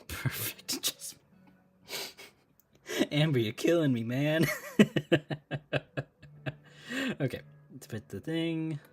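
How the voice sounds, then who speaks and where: A man talking casually on a live stream while he draws, thinking out loud, laughing often and chatting with viewers. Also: on the high side, extremely slow, long pauses, a little hiss or hum.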